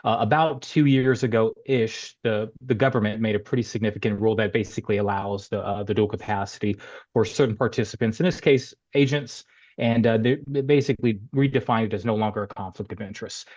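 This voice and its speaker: A man's voice: lowish voice, a little fast, a little mellow, highs cut off. A middle-aged man speaking as an interview guest, easygoing and loose, with many "uh"s and restarts. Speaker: bank lending executive, guest. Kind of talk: casual interview talk.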